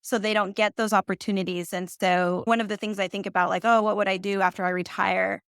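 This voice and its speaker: A woman in her forties speaks in a smooth, resonant voice, dry and close to the mic. She talks casually as an interview guest. Her stories are animated, and she acts out the things other people said. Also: medium pitch, brisk, dry recording. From Central America. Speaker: guest, company founder.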